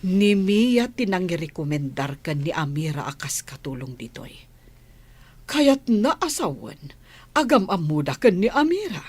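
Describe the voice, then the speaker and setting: Radio drama mother acted by a woman, suspicious, determined, husky, unhurried, expressive melodic swings. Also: lowish voice, no echo, exaggerated voice. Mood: suspicious, brooding. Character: suspicious, determined wealthy mother and lady of the house. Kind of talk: Ilocano radio drama acting.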